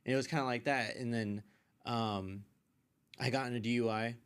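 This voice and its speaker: A man's voice: mid-range voice, fairly even intonation, normal speed, smooth. A man chatting informally as a podcast guest, telling personal stories in a slangy, meandering way. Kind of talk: casual personal storytelling.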